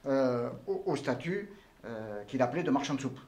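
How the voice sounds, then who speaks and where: A man's voice: mid-range voice, expressive, normal speed, a little mellow. An older man from Tunisia, answering interview questions in formal, elaborate phrasing with frequent hesitations. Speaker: entrepreneur guest.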